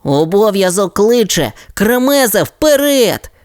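A woman voicing a keen young male digger pup with an excited, bright storybook voice. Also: low voice, a little slow, dry recording, clean studio sound, voice pushed up.

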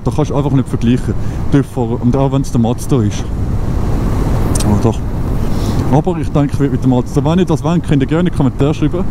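A man in his twenties with a Swiss German accent, chatting casually while riding a motorcycle, thinking aloud with many fillers and restarts. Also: mid-range voice. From Switzerland. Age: 20s. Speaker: motovlogger. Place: outdoors on a moving motorcycle on country roads. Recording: wind and engine noise from riding.

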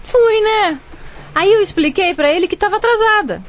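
An actress playing a cheeky, sulky young adult daughter in a radio drama. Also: female voice, very high-pitched, normal speed, slight background noise. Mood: sheepish.

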